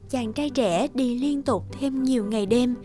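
A woman's voice: on the high side, normal speed. A woman narrating a scripted fairy tale as the storyteller. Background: loud background music.

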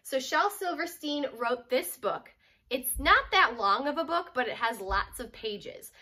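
A woman's voice: high-pitched, expressive, unhurried, pushed and bright. A young woman reading a children's picture book aloud, explaining and asking simple questions as she goes. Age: young adult. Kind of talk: children's storybook read-aloud with explanatory asides. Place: furnished room at home, indoors.

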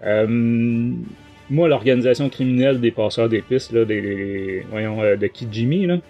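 A man's voice: mid-range voice, unhurried, dull audio, faint music behind. A man with a Québécois French accent hosting a podcast, giving a casual book review in a rambling, colloquial, hesitant way.

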